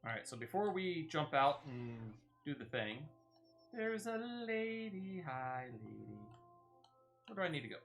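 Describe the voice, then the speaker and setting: Bright-voiced man giving casual gameplay commentary. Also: fairly high pitch, big pitch swings, measured pace, gravelly, faint music behind. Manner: thinks aloud, narrating own moves. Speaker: gaming streamer.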